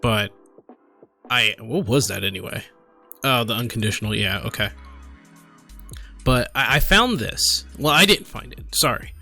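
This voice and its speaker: A man giving casual, unscripted gaming commentary and reading aloud, in a halting, apologetic manner. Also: mid-range voice, lively intonation, vocal fry, sharp tone, faint music behind. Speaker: gaming video creator.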